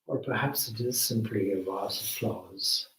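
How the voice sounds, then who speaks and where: A man leading a guided meditation as a spiritual teacher, speaking in measured, deliberate short phrases with long pauses. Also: on the low side, a bit bright. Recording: roomy echo, distant microphone.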